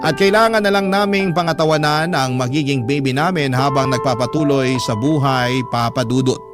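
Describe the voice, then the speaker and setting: A man's voice: medium pitch, normal speed. A man narrating a radio drama, reading a first-person letter in an emotive, confiding storytelling style. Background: prominent background music.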